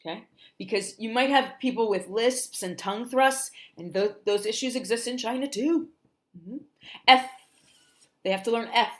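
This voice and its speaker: A middle-aged woman from New Jersey with a softened Jersey accent, teaching phonics in a livestream and sounding out letters while checking in with "okay?". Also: fairly high pitch, moderate pace. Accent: New Jersey, softened toward standard American. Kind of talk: livestream phonics lesson for parents.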